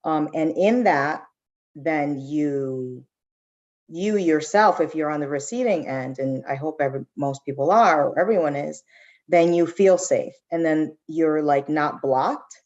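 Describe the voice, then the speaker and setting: A woman with a notably low voice speaks on a conference panel, working through her ideas out loud. She often checks in with her listeners and restarts her thoughts. The audio sounds a little dull. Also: unhurried. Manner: reflective, many restarts and "right?". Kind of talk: panel discussion.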